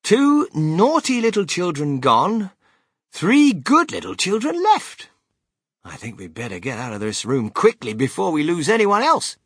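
A man voicing an excitable, eccentric, impatient chocolate-factory owner in a theatrical storybook reading. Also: sits high, husky, no echo, studio quality, voice pushed up, full character voice. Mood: brisk, exasperated. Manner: theatrical, exclamatory, brisk and dismissive.

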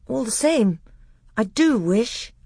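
A woman's voice: a little high, lively intonation, takes it slowly, warm tone, breathy edge, no room sound, clean studio sound, pitched above the performer's own voice, exaggerated voice. A woman voicing a very young, innocent boy prince in a storybook, with an artless, childlike way of talking. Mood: timid, wistful. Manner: artless, breathless childlike babbling. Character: very little boy prince, innocent, eager, dreamy. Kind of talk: acted storybook dialogue.

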